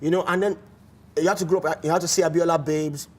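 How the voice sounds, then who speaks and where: A man with a Nigerian accent hosting a casual sports talk show in a studio, animated and often restarting his words. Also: fairly high pitch, fast, a loud crowd. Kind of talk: casual studio chat with phone-in.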